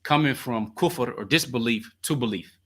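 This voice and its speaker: A man hosting a call-in advice show as a coach, talking in an animated, slangy storytelling way. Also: medium pitch, normal speed.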